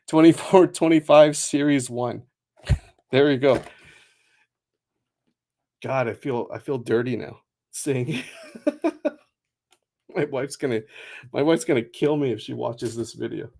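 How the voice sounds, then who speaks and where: A man hosting a trading card break stream, chatting casually with many fillers and quick laughs, and reading out a long list of names. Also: medium pitch, creaky, mellow. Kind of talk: casual livestream chat.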